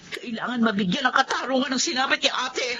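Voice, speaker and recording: Vengeful grieving younger sister for radio drama, high pitch, lo-fi audio. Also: female voice, quick pace, bright, forceful tone, a little hiss or hum. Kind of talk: Filipino radio drama acting.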